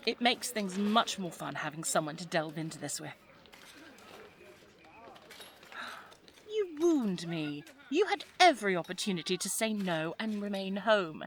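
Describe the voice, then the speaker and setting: A woman's voice: lowish voice, sing-song, moderate pace, hardly any pauses, slight background noise. A woman playing a spirited, teasing young English lady of the 1920s in an audio drama, chatty and well-spoken with an English accent. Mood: playful, enthusiastic. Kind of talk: audio roleplay drama, addressed to the listener.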